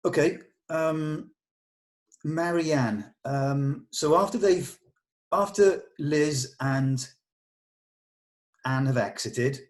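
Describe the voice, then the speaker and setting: A man speaks as the director of a script table read. He gives notes diplomatically, explaining his reasoning in a measured way. His delivery is that of working conversation with actors and a writer. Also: on the high side.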